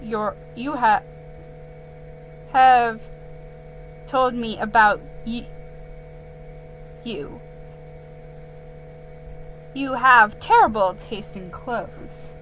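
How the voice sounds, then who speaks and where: A smug storybook mouse salesman, read by a woman, blunt and rude. He talks slowly and haltingly in a bright voice. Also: a little high, slight background noise, low-quality recording. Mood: smug, insulting.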